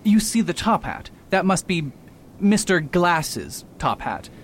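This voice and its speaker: A pompous, self-assured detective from a radio drama, acted by a man, laying out his deductions. The pitch is a little high and very mobile. Faint rumble runs under the recording. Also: sing-song, slow, full character voice. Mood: deducing, pompous. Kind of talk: radio play acting. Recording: rumbly background noise.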